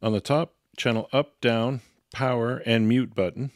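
A man's voice: medium pitch, fairly even intonation, measured pace, no echo, clean studio sound. A man giving an instructional product walkthrough in a matter-of-fact, step-by-step way. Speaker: product reviewer and demonstrator.

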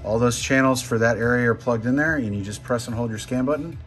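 A man's voice: medium pitch, quick pace, a bit bright, faint music behind. A man presenting an informal step-by-step how-to, punctuating the steps with short exclamations. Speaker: tutorial presenter. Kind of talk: casual step-by-step how-to narration. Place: indoor room, behind a handheld camera.

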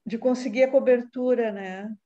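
A woman's voice: fairly high pitch, fairly even intonation, moderate pace, warm tone. A woman chatting unhurriedly on a video call and asking questions. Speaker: online class participant. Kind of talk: casual chat. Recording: muffled video-call audio.

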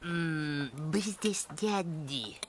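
A man playing a fawning, sly henchman in an animated film, with an obsequious, drawling manner. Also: fairly high pitch, extremely slow, background noise. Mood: confiding.

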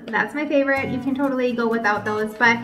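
A woman's voice: a little high, fairly even intonation, quick pace, music in the background. A woman in her thirties from Minnesota, chatting to the camera at home as she holds up items one by one. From Minnesota, USA. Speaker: vlogger. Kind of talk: casual product show-and-tell. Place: bright home room indoors.

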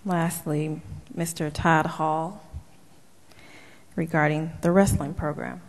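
Low-ish woman announcing speakers at a board meeting, reverberant auditorium. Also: fairly even intonation, slow. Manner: brief, formal announcing. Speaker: board member calling speakers. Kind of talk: chairing a school board meeting. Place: large auditorium. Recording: reverberant hall.

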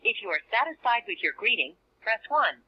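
Lively, slightly husky woman on a voicemail system. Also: a little high, brisk. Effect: telephone. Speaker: automated voicemail system announcer. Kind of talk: scripted phone menu prompts. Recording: dull lo-fi phone speaker playback.

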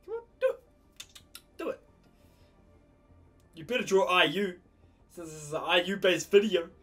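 A young man with a stuffy, blocked nose doing casual, excited reaction commentary in an animated, exclaiming way. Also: sits high, moderate pace, husky, soft background music. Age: young adult. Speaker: reaction video host. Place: small home room.

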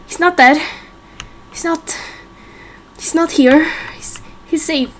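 A woman playing a confused, frightened young amnesiac in a game roleplay, speaking in halting fragments, with some background noise. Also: sits high, steady tone. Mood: anxious, confused. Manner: halting, fragmented, self-questioning. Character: confused, frightened young amnesiac part-creature, vowing peace. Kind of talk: amateur game roleplay acting.

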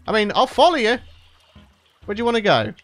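A man's voice: sits high, very animated, fairly quick, faint music behind. A young man, a regular gaming channel host, chatting cheerfully through a let's play and often laughing, his voice tired from recording late at night. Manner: chatty, upbeat, laughs often, talks to viewers.

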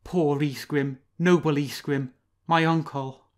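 A cunning male fox, as a man voices him in a storybook, drawing out his words slowly with mock sorrow. His voice sits noticeably higher than the reader's own. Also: fairly bright tone. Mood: scheming. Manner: drawn-out, theatrical sighs, feigned grief. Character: sly male fox, smooth and scheming. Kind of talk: storybook reading.

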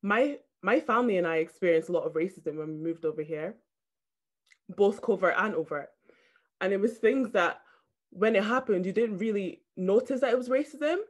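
Woman, 20s, raised in Scotland, medium pitch, student leader speaking on an online panel. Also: normal speed. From Nigeria, grew up in Scotland. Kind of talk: personal speech at an online launch. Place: plain room indoors. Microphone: video call.

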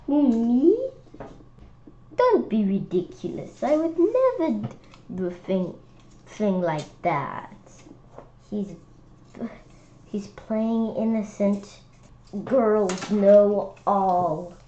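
Woman reader, children's storybook read-aloud, slow and halting with restarts, lo-fi recording. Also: medium pitch, lively intonation, dark tone, a little hiss or hum. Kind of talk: reading a picture book aloud. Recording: slightly dull lo-fi sound.

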